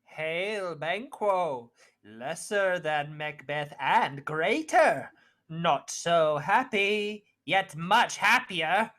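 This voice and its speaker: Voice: medium pitch, expressive, drawn-out words, a bit bright. A student actor voicing a gleeful withered witch who chants prophecies in the style of a 1940s radio Shakespeare play. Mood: eerie, gleeful.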